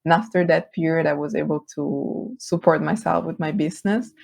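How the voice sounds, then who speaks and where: A woman with an Italian accent whose first language is Italian, chatting as an interview guest, friendly and quick to laugh. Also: sits low, creaky, darkish tone, no echo. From Italy. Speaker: guest, brand designer. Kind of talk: casual interview chat.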